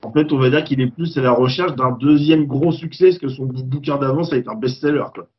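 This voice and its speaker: A man joins a relaxed film chat with blunt, joking remarks. His voice sits at a middle pitch. The sound is muffled and lo-fi. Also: fast, slightly rough. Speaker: film podcast co-host. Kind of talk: casual film discussion. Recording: dull lo-fi sound.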